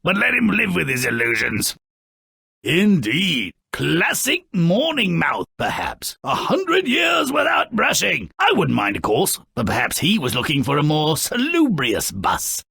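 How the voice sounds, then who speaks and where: An actor playing Grimm, a cranky, sardonic gremlin-like dwarf who narrates fairy tales mockingly in a video game, with a gravelly, raspy voice and theatrical delivery. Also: male voice, a little high, wildly swooping pitch, unhurried, no echo, clean studio sound, full character voice. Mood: derisive. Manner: sneering, mock-dramatic, swooping from mutters to shouts.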